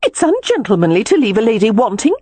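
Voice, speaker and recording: A woman's voice: mid-range voice, very animated, moderate pace, darkish tone, husky, no room sound. A woman playing an indignant, demanding young lady in an audiobook, speaking in a heated, haughty and insistent way. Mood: heated pleading. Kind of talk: audiobook character dialogue.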